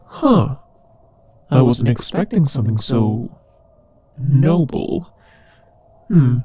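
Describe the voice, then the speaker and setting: Guy doing a demon king of hell for roleplay audio, raspy n mellow, amused drawl, sardonic and teasing. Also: mid-range voice, very animated, takes it slowly, full character voice. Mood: curious, gracious. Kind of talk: scripted roleplay audio drama monologue.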